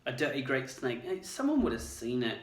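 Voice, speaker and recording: A man voicing a nervous, excitable teenage schoolboy who stammers and talks eagerly. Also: medium pitch, a little fast, natural voice. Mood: eager, uneasy. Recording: roomy, distant mic.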